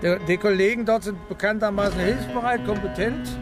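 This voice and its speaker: A man's voice: sits high, brisk, loud music behind the voice, close to an everyday voice. An actor playing an irritable, officious village policeman in a radio drama, curt and sarcastic in colloquial speech.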